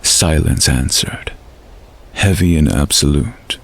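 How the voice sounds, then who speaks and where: A man narrating a scripted fantasy story in a measured, dramatic storytelling manner. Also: extremely low pitch, vocal fry, slight background noise.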